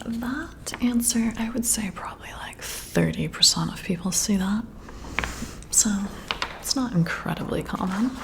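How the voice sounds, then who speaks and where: A woman's voice: mid-range voice, gravelly. A woman playing a flirty, intrigued boss giving a personality test in an ASMR roleplay, whispering breathily and admiringly, close to the mic. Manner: slow, flirty, admiring, softly hesitant.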